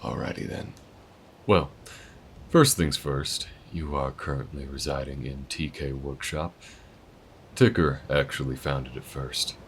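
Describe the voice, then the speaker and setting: A man's voice: extremely low pitch, fairly even intonation, a little slow, a little hiss or hum. A man voicing a friendly, easygoing male alien who shows the listener around town in an audio roleplay, talking in a folksy, laid-back drawl.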